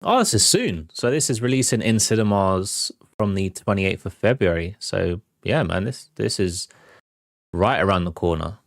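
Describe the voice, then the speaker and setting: A man's voice: low voice, expressive, normal speed, creaky, dry recording, clean studio sound. A man hosting a film show, giving casual, off-the-cuff commentary on trailers. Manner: informal, off-the-cuff.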